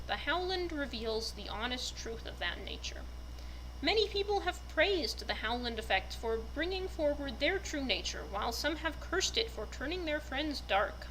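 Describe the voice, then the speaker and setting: A woman's voice: high-pitched, fairly bright tone, a little hiss or hum, low-quality recording. A woman speaking expressively and with enthusiasm as a fan narrator, in the manner of a dramatic reader. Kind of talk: dramatic reading and casual fan commentary.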